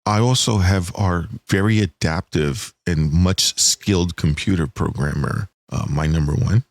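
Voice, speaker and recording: A man's voice: low voice, measured pace, dry recording. A middle-aged man hosting a casual podcast with his co-hosts, joking and laughing often. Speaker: podcast host.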